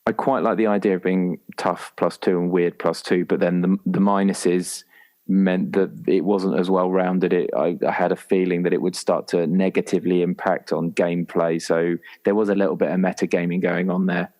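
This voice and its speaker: A man's voice: low voice, level tone, normal speed, darkish tone. A man chatting casually as a roleplayer talking through his game character. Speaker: podcast guest, tabletop roleplayer.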